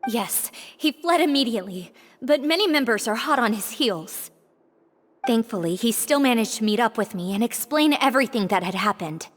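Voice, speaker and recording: A woman's voice: a little high, expressive, moderate pace. A woman playing a timid, distraught young woman in a video game, pleading in a formal, hesitant way. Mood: anxious. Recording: long hall reverb, faint music behind.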